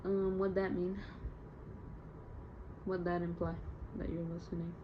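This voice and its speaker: A woman's voice: fairly low pitch, flat delivery, a little slow. A young woman recording a casual, confessional audio diary, rambling candidly in slangy speech and sounding hurt and downcast. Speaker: audio diarist. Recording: muffled lo-fi home recording, faint noise.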